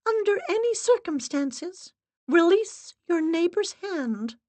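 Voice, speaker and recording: A woman's voice: very high-pitched, lively intonation, slow pace, a little mellow, no room sound, pitched above the performer's own voice, full character voice. A woman voicing a stern female séance assistant with a clipped, formal, authoritative manner in an audiobook.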